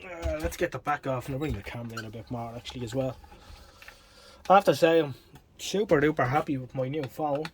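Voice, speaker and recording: A man's voice: medium pitch, brisk. An Irish man gives casual hands-on repair commentary in a wry, self-deprecating way, muttering to himself as he works. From Ireland. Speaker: electronics repair hobbyist presenting. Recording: clearly noisy home video recording.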